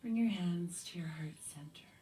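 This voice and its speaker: A soft-spoken woman leading a gentle yoga practice, guiding breaths calmly and sometimes dropping to a whisper. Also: fairly low pitch, recorded from a distance. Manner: slow, calm guiding with whispered cues. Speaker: yoga teacher. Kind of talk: guided yoga instruction. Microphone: handheld or clip mic.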